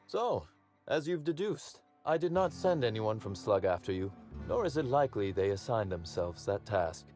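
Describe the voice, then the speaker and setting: Suave menacing crime boss, game acting, lively intonation, wry, theatrical, faint music behind. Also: male voice, lowish voice, normal speed. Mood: smooth, candid. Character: suave, amused, menacing male crime boss in corporate employ.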